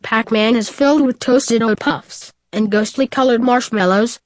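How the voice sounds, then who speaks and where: A woman's voice: on the high side, normal speed, dry recording. A woman presenting a scripted commercial in old-time radio style. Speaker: advertisement presenter.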